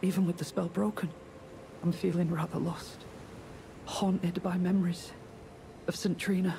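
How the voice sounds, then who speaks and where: An actor playing a gentle, timid, lovesick young man in a video game, hesitant and breathy, as if confessing. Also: high voice, little pitch movement, unhurried, noisy background. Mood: lovesick, haunted. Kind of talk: video game character acting. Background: music.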